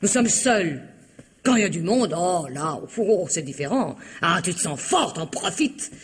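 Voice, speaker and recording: An actress playing Eva, a taunting, contemptuous wife in a vintage French radio drama, with a husky, mocking delivery. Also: female voice, on the low side, sing-song, unhurried, heavily acted. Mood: scornful.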